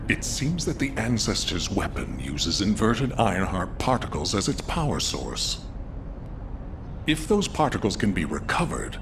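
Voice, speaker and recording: Creaky low-voiced military strategist. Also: male voice, noisy background, heavily acted. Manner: measured, formal, deliberate. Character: grave military strategist briefing a soldier, sober and cautious. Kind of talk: scripted video game mission briefing.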